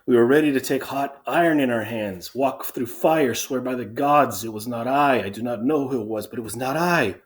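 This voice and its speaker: A man playing an anxious soldier, halting, wordy and self-excusing, in a dramatic reading of Greek tragedy. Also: medium pitch, pitched above the performer's own voice, lightly acted. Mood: anxious protesting.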